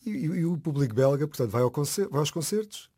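A man's voice: a little high, expressive, quick pace, dark tone. A man with a European Portuguese accent hosting a casual interview, chatty and enthusiastic, often repeating his phrases. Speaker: interviewer, radio host.

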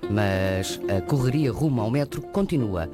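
A man reporting in a measured, scripted voice-over for a news feature. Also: medium pitch, expressive. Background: music.

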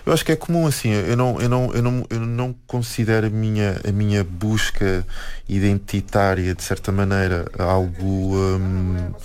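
Male guest, European Portuguese accent, low voice, reflective and halting. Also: fairly even intonation, a little mellow, clean tone. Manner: hesitant, many restarts and "não é?" tags. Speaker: guest, musician and anthropologist. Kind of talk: reflective interview talk. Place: radio studio.

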